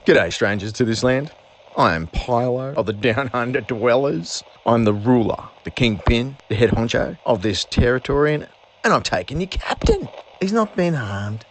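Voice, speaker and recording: A man's voice: medium pitch, expressive, normal speed, a little hiss or hum, lightly acted. Pompous Australian mole king, swooping delivery by a man. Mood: boastful menace. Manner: boastful, mocking, slangy. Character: pompous, cheeky mole-creature king, short and pudgy. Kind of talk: audio drama villain monologue.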